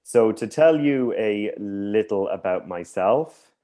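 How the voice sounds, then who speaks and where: An Irish man who stutters, hosting a workshop presentation in a measured way with slight blocks on some words. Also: lowish voice, expressive, warm tone. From Ireland. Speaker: workshop host. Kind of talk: conference presentation. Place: video call.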